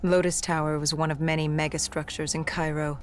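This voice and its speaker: A woman's voice: low voice, little pitch movement, fairly quick, slight background noise, lightly acted. A woman playing a defiant, battle-weary soldier in a video game, grimly and bluntly narrating a mission. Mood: grim recounting. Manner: blunt, bitter, grim.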